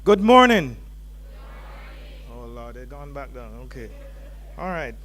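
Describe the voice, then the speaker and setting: A man's voice: mid-range voice, big pitch swings, takes it slowly, fairly bright tone, a little hiss or hum. A man giving a welcome address to students as faculty dean, informal and joking with the audience in a lecture hall. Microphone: handheld microphone.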